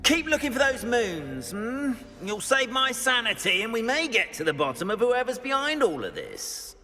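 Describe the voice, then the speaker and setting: A man's voice: very high-pitched, wildly swooping pitch, normal speed, bright, forceful tone, in a large hall, soft background music, heavily acted. A man playing an anxious, fussy wizard school caretaker in a video game, speaking in a dramatic, hesitant, florid way. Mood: relieved, fretful. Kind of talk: video game character acting.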